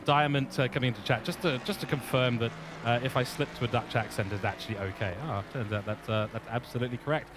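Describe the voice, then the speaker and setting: A man's voice: mid-range voice, steady tone, fast, music in the background. A man doing live motor racing commentary in an informal, playful way. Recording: clear vehicle noise behind voice.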